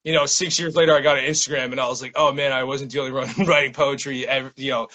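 A man's voice: medium pitch, steady tone, fast talker, a bit bright. A man in his thirties talking casually as an interview guest, slangy and peppering his speech with "like" and "man". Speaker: interview guest, poet and small-press publisher.

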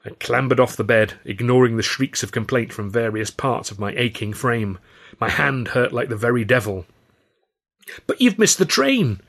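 A British man reading his own novel as an expressive, wry first-person audiobook narration. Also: mid-range voice, moderate pace.

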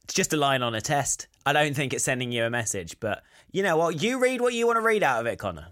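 A man's voice: mid-range voice, very animated, fast talker, a bit bright, dry recording. A man co-hosting a podcast from a studio, chatting with dry, teasing banter. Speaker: podcast co-host. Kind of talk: casual chat.

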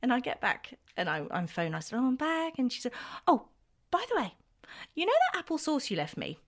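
A woman in her fifties co-hosting a podcast, telling chatty stories with frequent laughs and a youthful-sounding voice she tries to smooth out. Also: fairly high pitch, sing-song, a little fast. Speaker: podcast co-host. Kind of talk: casual chat.

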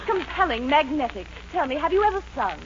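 An actress playing a scheming, gushing stage star with a grandiose, melodramatic manner in a 1940s radio comedy. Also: female voice, high-pitched, very animated, a little fast. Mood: rapturous, gushing. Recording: muffled, noisy 1940s radio recording.

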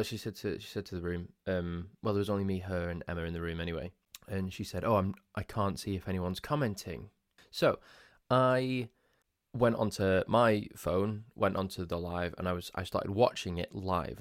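Groggy deep-voiced man. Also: dry recording, clean studio sound. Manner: chatty, rambling with restarts, rhetorical questions. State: groggy, just woken up. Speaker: podcast host. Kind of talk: casual solo podcast storytelling.

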